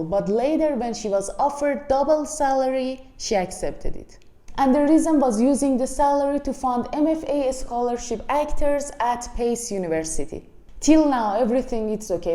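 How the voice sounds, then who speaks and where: A woman's voice: a little high, warm tone. A woman from Iran with an Iranian accent, giving a frank, blunt movie review in casual speech and speaking to viewers as friends. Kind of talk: casual spoken film review. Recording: echoey room.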